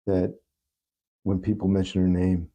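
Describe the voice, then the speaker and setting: A man speaking as a podcast guest in a reflective interview, gentle, reassuring and deliberate. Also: deep voice, monotone.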